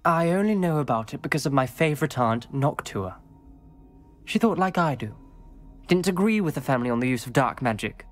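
A man's voice: fairly high pitch, expressive, brisk, lightly acted. British teenage wizard boy, male actor, formal, guarded, earnest, troubled, video game drama, music behind. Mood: grave, wary. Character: troubled, principled teenage schoolboy wizard, wary of dark magic.

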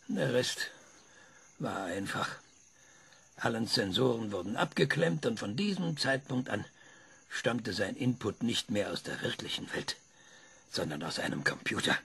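An actor playing a sardonic former researcher in a radio play, lecturing with impatient insistence. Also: male voice, medium pitch, creaky, a bit bright, slightly rough, full character voice. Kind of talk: German radio play acting.

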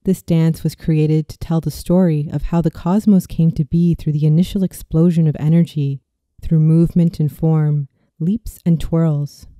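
A speaker in their thirties reading a scripted guided visualization in a soothing, slow and deliberate way, as a meditation narrator. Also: medium pitch, little pitch movement, mellow, no echo, studio quality. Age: 30s.

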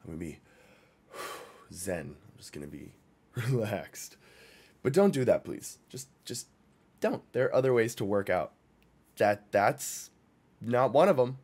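A man's voice: lowish voice, big pitch swings, a little slow, long pauses, a little mellow, husky. Exasperated young man giving casual commentary. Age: young adult. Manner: exasperated, blunt, slangy. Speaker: reaction video host. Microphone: boom microphone close by.

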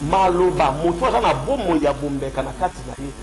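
A man's voice: fairly high pitch, lively intonation, darkish tone, a little hiss or hum, rough recording. A man who is a pastor, preaching unhurriedly on a studio microphone, with a cold. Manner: unhurried, quotes scripture, mixes French and Lingala. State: has a cold. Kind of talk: preaching on a radio call-in show. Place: radio studio. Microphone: studio mic with headphones.

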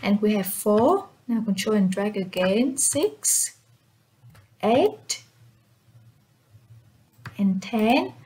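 A woman whose first language is Vietnamese, narrating a step-by-step software tutorial as its presenter. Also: medium pitch, sing-song, extremely slow, long pauses.

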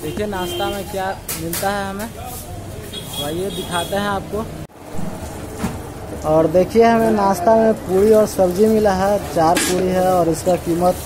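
A man in his twenties from India talking casually to the camera as a travel vlogger, recorded on a handheld phone. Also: high voice. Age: 20s. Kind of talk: casual vlog narration to camera. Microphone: phone at arm's length. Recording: noisy phone recording, street and vehicle ambience.

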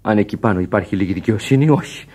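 Man acting a gruff, comforting sailor friend. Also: fairly low pitch, heavy background noise, close to an everyday voice. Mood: soothing, defiant. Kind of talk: Greek radio drama acting. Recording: radio play with music underneath. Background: music.

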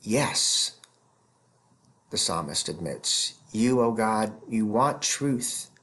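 A man in his fifties giving an explanatory theology lecture as a professor, speaking deliberately and stressing key words. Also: on the low side, lively intonation, a bit bright. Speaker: professor teaching scripture.

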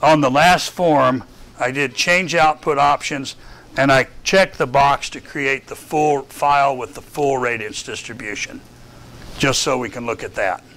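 An older man from Texas with a Southern accent, casually walking a class through software in a darkened lecture room, thinking aloud with self-deprecating asides. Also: mid-range voice, unhurried, rough recording. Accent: Southern US, Texan. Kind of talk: software lab walkthrough. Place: dark lecture room with projector screen.

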